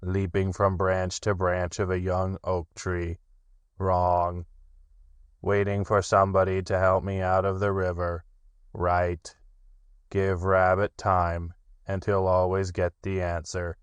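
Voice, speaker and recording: A man voicing a gloomy, sarcastic old male donkey with a slow, flat, sardonic drawl. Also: low-pitched, clean tone, no room sound, voice pushed down.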